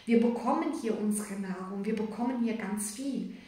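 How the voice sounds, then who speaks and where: A woman's voice: mid-range voice, normal speed, warm tone, far from the mic. Chatty woman tarot reader, echoey room. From Germany, of Sicilian parents. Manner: chatty, rambling, frequent fillers and tag questions. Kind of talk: casual spoken tarot reading. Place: indoors, room with a card table.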